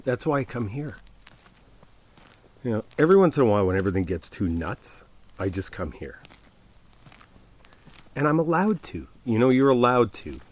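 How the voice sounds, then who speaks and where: A man playing a caring, laid-back best friend in an ASMR roleplay, speaking conversationally with hesitant, reflective pauses. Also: mid-range voice, big pitch swings, a little mellow, pitched above the performer's own voice. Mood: earnest, reassuring.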